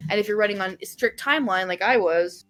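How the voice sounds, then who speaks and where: A woman chatting casually as a guest game developer, explaining things in a halting, thinking-aloud way. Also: mid-range voice, a bit bright, faint music behind.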